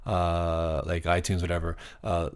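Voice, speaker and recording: A man from Canada, a DJ guest in a podcast interview, speaking in a monotone, rambling way. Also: deep voice, a little slow, clear, resonant tone. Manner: rambling, with many fillers and restarts. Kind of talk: casual interview chat.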